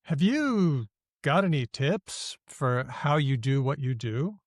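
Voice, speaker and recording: A man's voice: medium pitch, sing-song, slow pace, no room sound, clean studio sound. A man hosting a podcast, chatting casually and enthusiastically with his guest. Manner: upbeat, enthusiastic, informal. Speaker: podcast host. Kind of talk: casual interview chat.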